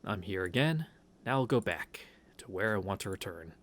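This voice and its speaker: A man's voice: sits low, animated, normal speed, background noise. A man hosting a visual novel read-through, mixing casual, rambling commentary with reading aloud. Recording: game music under the voice. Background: game background music.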